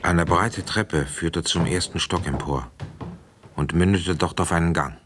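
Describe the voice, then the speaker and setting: A commanding radio-play police inspector with a very low voice. He is weary and dry, setting out facts in a measured, matter-of-fact way and giving firm orders. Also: noisy background. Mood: measured, sober. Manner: measured, matter-of-fact first-person narrating and firm questioning. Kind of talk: German radio crime play, narration and dialogue.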